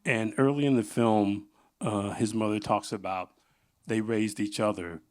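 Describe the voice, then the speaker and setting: A man hosting a conversational on-stage interview as the panel moderator. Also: on the low side.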